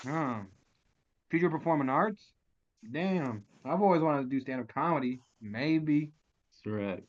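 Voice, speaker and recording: A man's voice: on the high side, unhurried, highs cut off, natural voice. A man playing a cool, flirty street charmer in a comedy table read, talking slangy, cocky and laid-back. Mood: cool, easygoing.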